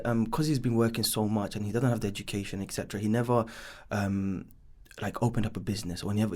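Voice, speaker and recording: A man's voice: fairly low pitch, a little mellow. A man in his thirties with a London accent, raised there after being born in Bangladesh. He tells his life story on a podcast in a rambling, casual way, full of ums and "should I say". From born in Bangladesh, raised in East London. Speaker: business founder guest.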